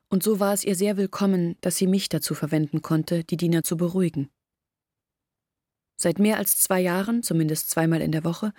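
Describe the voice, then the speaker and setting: A woman's voice: lowish voice, warm tone, dry recording, studio quality, close to an everyday voice. An actress playing Olga, an earnest young village woman, telling her story in a radio play. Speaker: lead character in radio play. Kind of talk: radio drama acting.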